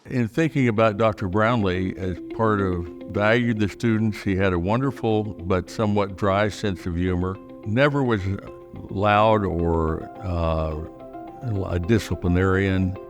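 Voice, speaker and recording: A man's voice: low voice, a little slow, music in the background. An older man recalling a colleague, reflective and a little hesitant.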